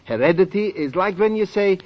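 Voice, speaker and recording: An actor playing a wisecracking, eccentric old professor who teases with punchlines, in 1940s radio sitcom acting. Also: male voice, high voice, expressive, fairly quick. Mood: joking. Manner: joking, teasing punchlines. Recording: dull vintage radio with audience.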